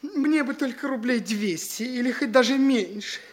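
An actor playing an airy, pretentious young gentleman in a theatrical radio play, with affected, exclaiming delivery. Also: extremely high pitch, normal speed. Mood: relieved, grateful. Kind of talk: theatrical Russian classic radio play acting.

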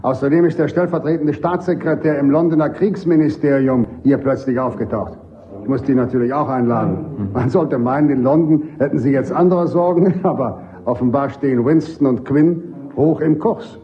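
A man's voice: medium pitch, moderate pace, dull audio, lightly acted. A man playing a jovial, talkative senior army officer in a German radio play, affable, urbane and self-satisfied. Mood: breezy, affable.

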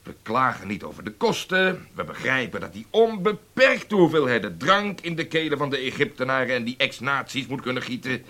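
An actor playing a demanding, impatient intelligence chief, emphatic and authoritative, in a Dutch radio drama. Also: male voice, mid-range voice, very animated, brisk, hardly any pauses, pushed and bright. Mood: commanding.

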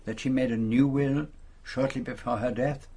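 Man playing a husky, courteous Belgian detective. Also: mid-range voice, fairly even intonation, normal speed, warm tone, close to an everyday voice. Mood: discreet, probing. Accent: Belgian French. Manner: polite, probing, formal phrasing. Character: courteous, shrewd Belgian male private detective. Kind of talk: British radio drama acting.

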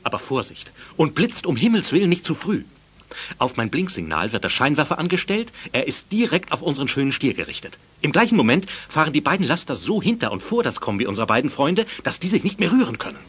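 A man's voice: a little high, big pitch swings, fast talker, fairly bright tone, breathy edge, heavily acted. A man playing a stern but fair middle-aged director of a youth village in a children's radio drama, speaking formally and with authority. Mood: urgent, instructing. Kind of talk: 1980s German children's radio drama acting.

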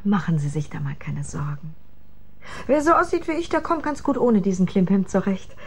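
A woman playing a self-assured, flirtatious secretary in a radio drama, with a breathy, husky voice and a playful manner. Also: medium pitch, very animated, moderate pace. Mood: teasing.

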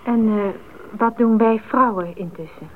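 An actress playing a composed, devout woman in a Dutch radio drama, speaking in a calm, measured and earnest way. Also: a little high, natural voice. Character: composed, devout woman of a missionary flying group. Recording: muffled, noisy narrowband radio play recording.

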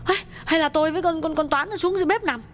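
A man voicing a grumbling, sharp-tongued middle-aged wife who scolds her husband in quick bursts. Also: very high-pitched, voice pushed up. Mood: scolding. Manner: scolding, rapid, exasperated nagging.

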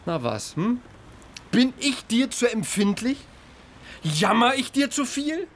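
A man's voice: very high voice, sing-song, slow, a bit bright, full character voice. An actor playing an irritable, self-pitying theater actor in his thirties, speaking colloquial dialogue in a naturalistic, defensive, agitated way. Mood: exasperated, hurt. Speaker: actor in an audio drama. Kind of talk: naturalistic audio drama dialogue.

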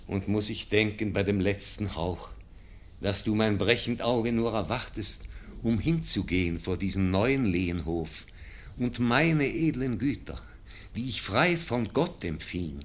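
A man's voice: on the low side, animated, unhurried. An actor playing an old, dying nobleman who solemnly pleads with his nephew, in a classic radio play. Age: older. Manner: solemn, pleading, swings from loud to hushed.

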